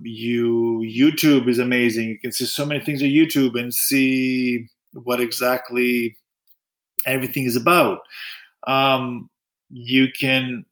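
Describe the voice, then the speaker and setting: A man who grew up in Greece and works as an orthodontist, answering interview questions in a halting way, with many restarts and fillers. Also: mid-range voice, a bit bright, poor audio.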